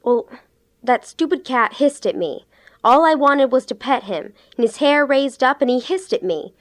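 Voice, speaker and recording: A woman's voice: high voice, animated, normal speed. A woman playing a defiant little boy who is devoted to an imaginary friend, in an audio drama.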